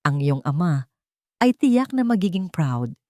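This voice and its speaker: A woman's voice: lowish voice, sing-song, a little slow, warm tone, dry recording, clean studio sound. A woman with a Filipino accent voicing an approving lawyer mentor in an audio drama. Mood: proud.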